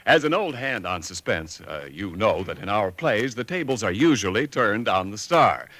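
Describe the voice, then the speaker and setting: A man announcing on a 1940s radio show, with a lively, bright sound. He reads the sponsor pitch in a polished, smooth way. The sound is a dry old radio broadcast under faint music. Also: moderate pace, no room sound.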